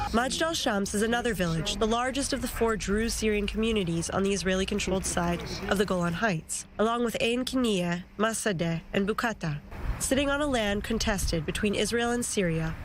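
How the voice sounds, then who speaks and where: A woman news reporter delivering a measured field report, speaking outdoors near a village border fence. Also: medium pitch, background noise.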